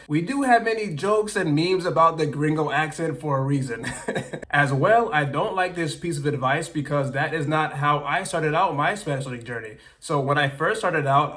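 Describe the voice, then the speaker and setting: Guy with a kinda high voice, super passionate, chatty, laughing here and there. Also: a bit bright. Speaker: language learning YouTuber. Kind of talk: casual explainer to camera.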